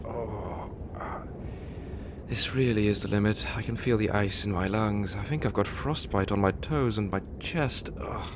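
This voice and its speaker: A man playing a fretful, sardonic gentleman in an audio drama, a reluctant companion who complains drily and formally. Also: fairly low pitch, unhurried, very noisy, lightly acted. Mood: weary, complaining. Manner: dry, complaining, formal wording.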